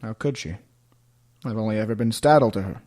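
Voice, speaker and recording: A man's voice: on the low side, brisk, a little mellow, echoey room, lightly acted. Male actor as lovesick court jester, anguished, poetic, brooding, bitterly mocking, prone to outbursts, radio drama. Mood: quietly resigned. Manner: theatrical, poetic, brooding delivery with sudden outbursts. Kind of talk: radio play acting.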